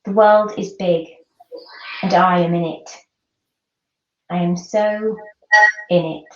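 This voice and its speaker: Echoey, very slow woman reciting poetry. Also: fairly low pitch, steady tone, a little mellow. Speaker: poet. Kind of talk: poetry reading. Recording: echoey, distant, dull microphone.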